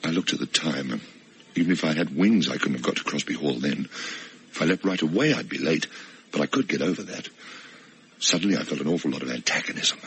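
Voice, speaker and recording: Flustered reluctant rescuer, very rough husky voice, forceful edge, hesitant, radio drama. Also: male voice, rumbling low, animated, quick pace, full character voice, poor audio. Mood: wry, confiding. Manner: stammering, hesitant, nervously pleading. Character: flustered, self-absorbed male actor, reluctant would-be rescuer. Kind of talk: radio play acting with inner narration.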